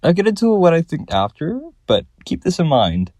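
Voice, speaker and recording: Theory video host: man, relaxed dark tone, lively intonation, scripted commentary with slight echo. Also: fairly high pitch, moderate pace.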